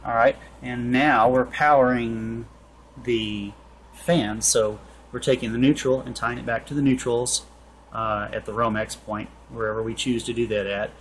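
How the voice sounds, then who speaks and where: A man in his forties gives an informal hands-on technical explanation, thinking aloud haltingly, recorded on a camera in an indoor workshop. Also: medium pitch, steady tone, a little hiss or hum, poor audio. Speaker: HVAC tutorial presenter. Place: indoor workshop with metal shelving.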